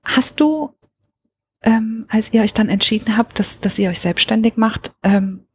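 A woman's voice: a little high, measured pace, breathy edge. A woman interviewing someone in a casual way, thinking aloud and searching for her words as she goes.

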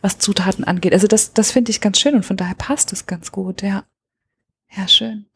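A woman's voice: medium pitch, steady tone, normal speed, husky. A woman interviewing someone in a casual way, thinking aloud and searching for her words as she goes.

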